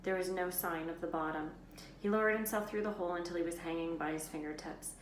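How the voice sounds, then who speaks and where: A woman reading a children's novel aloud as an expressive storyteller. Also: fairly low pitch, quick pace. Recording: roomy echo, faint background noise.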